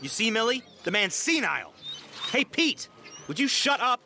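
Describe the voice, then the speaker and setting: A man's voice: very high-pitched, very animated, normal speed, vocal fry, over music, full character voice. A man playing an irritable, sarcastic sailor in an audio drama, griping at his crewmate. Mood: irritated.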